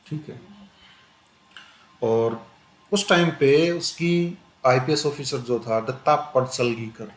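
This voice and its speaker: A male teacher giving a conversational exam-prep lecture, switching between Hindi and English and repeating "okay" as a filler. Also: mid-range voice. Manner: conversational, repeats "ठीक है" often, switches into slow English phrases. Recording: echoey room with faint ambient noise.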